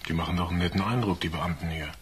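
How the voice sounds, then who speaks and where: A man's voice: deep voice, very fast, a little hiss or hum, close to an everyday voice. An actor playing a troubled, reproachful working man in a radio drama. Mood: earnest. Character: troubled, conscience-stricken, reproachful male workman.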